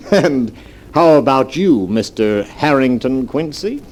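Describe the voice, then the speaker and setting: An actor in an old-time radio drama playing a carefree, hearty crook, jovial and swaggering. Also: male voice, mid-range voice, lively intonation, takes it slowly, a little mellow. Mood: boisterous, celebratory. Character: cocky, carefree, hearty male crook eager to celebrate.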